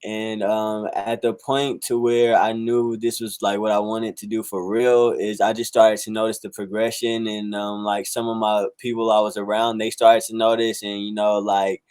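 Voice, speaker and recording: Low, even-toned young man, slangy. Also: normal speed, few pauses, clean tone, dry recording. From United States, South Carolina and Northern California. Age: 20s. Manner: slangy, rambling, frequent "you know" and "like". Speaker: musician and rapper being interviewed. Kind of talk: casual interview chat.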